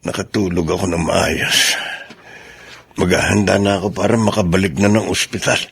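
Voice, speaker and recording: A man playing a caring, worried older father in a Filipino radio drama, with expressive delivery. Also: low-pitched, moderate pace, vocal fry, breathy edge, full character voice. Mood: calm, musing.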